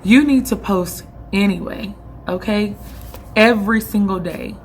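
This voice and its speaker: A young woman with a hoarse voice she is losing, giving casual vlog tips on running an online boutique in a direct, coaching way that keeps checking in with the listener. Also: mid-range voice, unhurried, a little hiss or hum.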